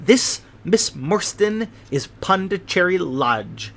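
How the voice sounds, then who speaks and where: A man voicing an excitable, fragile hypochondriac gentleman who chatters eagerly in a high voice, in a storybook reading. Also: slow pace, creaky, full character voice. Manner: high voice rising above the noise, eager chatter.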